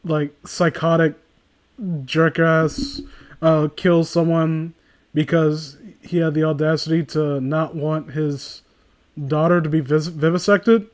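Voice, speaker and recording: A man with a high voice hosts a casual comedic reading. He riffs sarcastically and swears freely, in an animated way. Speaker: host reading fan fiction aloud. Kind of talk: casual comedic chat and riffing.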